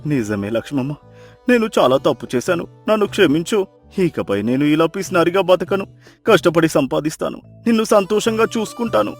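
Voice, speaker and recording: Male actor as contrite ex-miser husband in a moral tale; higher register, dramatic, earnest, music underneath. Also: expressive, brisk. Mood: remorseful. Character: repentant former miser husband, humbled and emotional. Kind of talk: Telugu moral story character acting.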